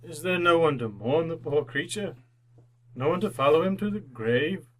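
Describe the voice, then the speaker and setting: An actor playing an old repentant miser in a radio drama, theatrical and fervent. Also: male voice, fairly high pitch, lively intonation, normal speed, poor audio. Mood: anxious, mournful. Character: old repentant miser, fearful then overjoyed.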